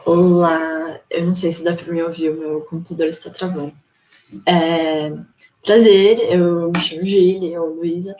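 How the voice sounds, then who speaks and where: A woman's voice: fairly low pitch, little pitch movement, unhurried, dark tone, rough recording. A young woman, an economics student, introducing herself on a video call, chatty and self-deprecating. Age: young adult.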